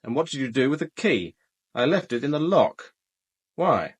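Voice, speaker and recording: Husky, dutiful British police sergeant. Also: male voice, medium pitch, very animated, normal speed. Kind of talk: radio drama acting.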